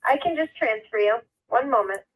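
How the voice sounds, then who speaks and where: Woman taking calls at a police desk, fairly high voice, bouncy intonation, businesslike. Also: lively intonation, moderate pace, breathy edge, poor audio. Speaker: police department phone operator. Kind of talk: phone conversation.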